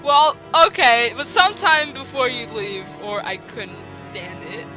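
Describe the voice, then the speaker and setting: A woman's voice: fairly high pitch, sing-song, measured pace, sharp tone, full character voice, poor audio. A woman reading the part of a playful, sheepish, eager boyfriend in a fan fiction, teasing and coaxing, over music. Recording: music playing behind the voice.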